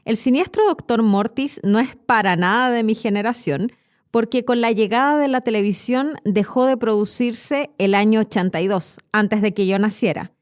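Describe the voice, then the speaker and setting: A woman's voice: on the high side, normal speed. A woman in her thirties with a Chilean accent, narrating scripted educational stories expressively as a podcast host. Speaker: podcast host and Spanish teacher.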